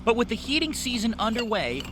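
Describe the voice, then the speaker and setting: A man's voice: very high voice, big pitch swings, a little fast, heavy background noise. A man working as a television news reporter, reading a scripted narration.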